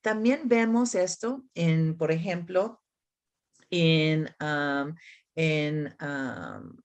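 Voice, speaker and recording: Slow-speaking woman. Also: low-pitched, expressive. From United States. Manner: halting, searching for words in a second language; often seeks agreement with tag questions. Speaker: academic expert giving a talk. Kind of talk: explanatory answers in a conference discussion.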